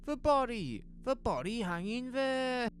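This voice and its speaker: A man's voice: very high-pitched, very animated, a little slow, a bit bright, full character voice. A man playing a panicked, agitated middle-aged shopkeeper in an audio horror drama, urgent and pleading. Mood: frantic, alarmed. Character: panicked, frantic middle-aged male small-town shopkeeper, desperate to be heard. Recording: faint noise under ambient sound effects.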